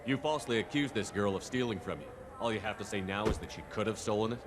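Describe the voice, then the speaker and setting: An actor playing an assertive military investigator in a video game, direct and firm. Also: male voice, on the low side, fast, background noise, close to an everyday voice. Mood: confronting.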